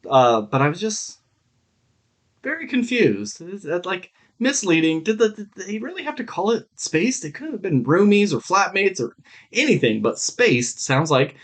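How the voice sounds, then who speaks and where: A man's voice: high-pitched, very animated, normal speed, a bit bright. A man co-hosting a podcast, chatting about shows in an informal, rambling, sweary way. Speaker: podcast co-host. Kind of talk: casual review chat.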